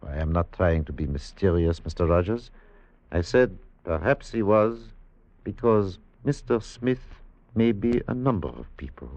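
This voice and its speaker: An actor playing a courteous, cryptic tobacconist informant with deliberate, formal phrasing in a 1950s radio drama. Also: male voice, low voice, expressive, a little mellow, no echo. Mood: grave, cryptic. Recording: dull 1950s radio recording.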